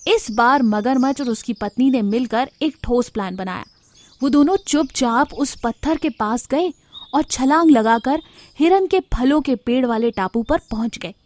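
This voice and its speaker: A woman with a somewhat high voice narrates an animal story for children. She reads it as a scripted storyteller. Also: animated, measured pace.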